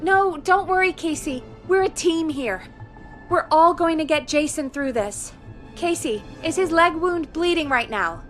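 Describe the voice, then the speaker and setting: An actress playing a supportive, practical radio producer in a video game, with a steady, reassuring manner. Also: female voice, very high-pitched, a little slow, soft background music. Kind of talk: natural video game voice acting.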